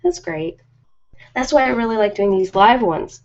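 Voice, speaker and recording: A woman's voice: fairly high pitch, expressive, moderate pace, gravelly, slight background noise. A woman chatting casually and informally on an ASMR live stream, rambling from one thought to the next. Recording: dull lo-fi live-stream audio.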